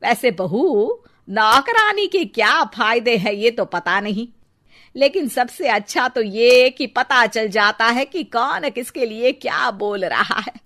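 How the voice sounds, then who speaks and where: A woman voicing a cranky, sharp-tongued older Indian mother-in-law in a Hindi cartoon story, with a scolding, sarcastic manner. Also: on the high side, expressive, takes it slowly, heavily acted.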